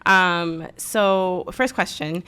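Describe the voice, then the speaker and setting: A woman's voice: lowish voice, extremely slow, sharp tone, clean tone. A woman co-hosting a casual interview podcast, encouraging and chatty, with lots of "wow" and "like". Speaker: podcast co-host.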